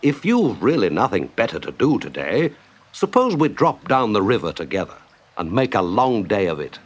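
A man voicing a jolly, brisk, hearty water rat in a children's story, exclamatory and confident. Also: on the low side, big pitch swings, breathy edge. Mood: friendly, bustling.

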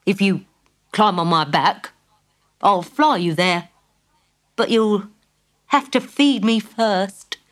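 A woman voicing a calm, matter-of-fact male piggy bank that talks in a slow, unflustered way and is sometimes cross. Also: medium pitch, close to an everyday voice. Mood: impatient.

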